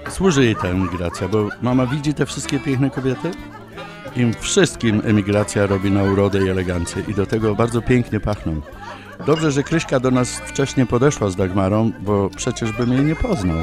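An actor playing a wry, teasing middle-aged son in an audio drama. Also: male voice, fairly low pitch, expressive, moderate pace, lightly acted. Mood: teasing, amused. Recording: music behind the voice.

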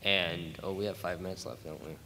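A man's voice: low-pitched, fairly even intonation, fairly quick. A man chatting casually as a panelist, offhand and easygoing. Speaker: convention panelist, amateur voice actor. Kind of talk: casual chat. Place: large convention room with audience. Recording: echoey hall, noisy pickup.

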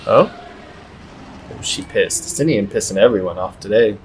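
A middle-aged man giving laid-back, joking reaction commentary as a gaming streamer, in a husky, gravelly voice. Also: expressive, normal speed, slight background noise, low-quality recording. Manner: laid-back, low-key talk, joking. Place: indoor room, streaming setup. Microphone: desktop microphone.

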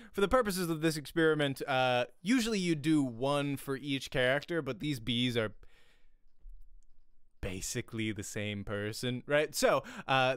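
A man in his thirties teaches acting in a casual, conversational way. His voice is lively and widely varied in pitch, with a clean, smooth tone. He speaks close to a studio mic in a small home room, with a very dry sound. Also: dry recording. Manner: animated, chatty, repeats questions for emphasis. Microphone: close studio microphone with pop filter.

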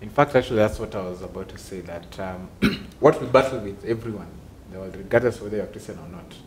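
A young man on a discussion panel in a small studio, speaking in a thoughtful, measured way. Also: lowish voice, slight background noise. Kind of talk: casual discussion. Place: small office studio, indoors.

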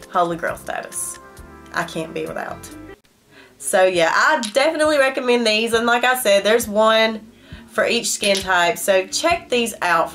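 A woman's voice: mid-range voice, fairly bright tone. Chatty woman with a stuffy nose. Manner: chatty and emphatic, talking straight to viewers. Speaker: beauty vlogger. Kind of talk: casual product review to camera. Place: small home room. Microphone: camera facing her at desk distance.

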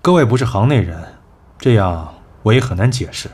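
A man playing a cool, assured agency executive in an audio drama, measured and persuasive. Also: on the low side, expressive, a little mellow, husky. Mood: frustrated. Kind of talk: Chinese audio drama acting.

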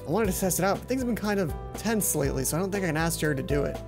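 A man reading the lines of an excited young male student inventor from a visual novel, fast and earnest. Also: high-pitched, music in the background.

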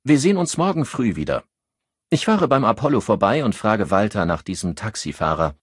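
A tense, ambitious policewoman played by a male narrator in an audiobook. The voice swings widely in pitch and has a husky edge. She is bossy yet insecure, speaking in brisk, clipped phrases. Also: sing-song, no echo, clean studio sound. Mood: brisk, commanding. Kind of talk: audiobook character dialogue.

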